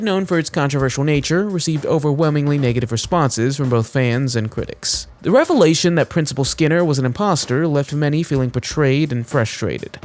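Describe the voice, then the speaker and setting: A man reads scripted video narration in a clearly enunciated, storyteller-like way. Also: a little high. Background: background music.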